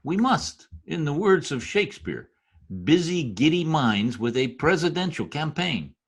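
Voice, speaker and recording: A man's voice: a little high, very animated, unhurried, husky, exaggerated voice. A man playing a deferential, persuasive political adviser who schemes for a dictator in an old-time radio comedy. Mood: suggestive, coaxing. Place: home room, recorded over video call.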